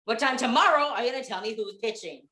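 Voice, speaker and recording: A woman's voice: a little high, fast, bright, forceful tone, roomy sound. A woman playing an exasperated, bewildered comic sidekick in a 1940s radio comedy routine, stammering and insistent in her questions. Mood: flustered, exasperated.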